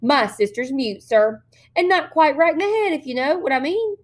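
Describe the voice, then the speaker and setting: Woman voicing a high, sugary little girl. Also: expressive. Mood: sweetly pleading. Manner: drawn-out, sugary, innocent pleading. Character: sweet, childish, cunning little girl pleading innocence.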